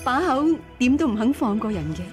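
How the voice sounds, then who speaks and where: Actress as wistful sisterly wife, highish voice. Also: female voice, brisk, over music, close to an everyday voice. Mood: sad, consoling. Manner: gentle, consoling, sorrowful. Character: kind, wistful woman, a sisterly fellow wife. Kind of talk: radio drama acting.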